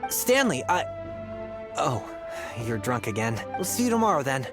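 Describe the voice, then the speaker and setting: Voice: mid-range voice, sing-song, moderate pace, natural voice. An actor playing an earnest, eager young male would-be adventurer in a video game. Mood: modest, fond. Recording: music under the voice. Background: music.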